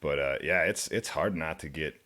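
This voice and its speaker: A young man with a deep, low voice, a country musician guest in a studio, talking in a laid-back, rambling way and laughing often. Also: normal speed, pushed and bright. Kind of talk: casual interview chat. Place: radio studio.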